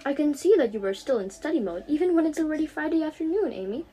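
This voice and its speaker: A woman's voice: sits high, animated, fast talker, mellow, voice pushed up. A teenage young woman voicing a kindly, patient aunt who teaches, in a storybook reading. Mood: explaining. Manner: patient, explanatory, fond.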